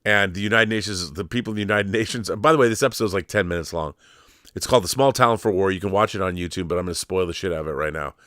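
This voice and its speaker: A man's voice: low voice, rapid-fire, fairly bright tone, no room sound, studio quality. A man hosting a casual, opinionated pop culture talk, rambling and digressive, with emphatic, sweary asides. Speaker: host answering live viewer questions.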